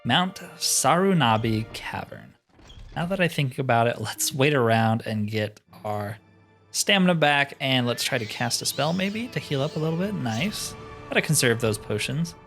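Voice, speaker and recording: Man, mid-pitched, casual let's play host who thinks aloud and questions himself, music underneath. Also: lively intonation, normal speed, fairly bright tone. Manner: musing, talks to himself in questions. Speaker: host of a gaming roleplay series. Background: music behind the voice.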